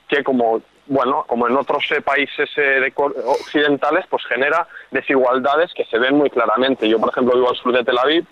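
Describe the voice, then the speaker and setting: A man from Catalonia with a Spain Spanish accent, a freelance journalist calmly explaining events as a radio interview guest, pausing often to search for words. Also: medium pitch, no room sound, dull audio. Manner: measured, explanatory, frequent hesitations.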